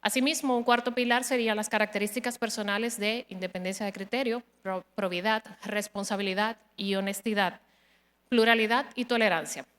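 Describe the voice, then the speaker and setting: A woman from the Dominican Republic in her thirties, giving a formal, measured interview presentation as a candidate for a judgeship, with frequent hesitations. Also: mid-range voice, fairly even intonation, fairly bright tone. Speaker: judicial candidate. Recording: long hall reverb.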